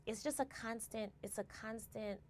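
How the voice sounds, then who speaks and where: A woman's voice: a little high, steady tone, normal speed, slight background noise. A woman, a guest reflecting in a casual interview and often checking in with "right?". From United States. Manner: reflective, tags phrases with "right?" and restarts words. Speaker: guest, company founder.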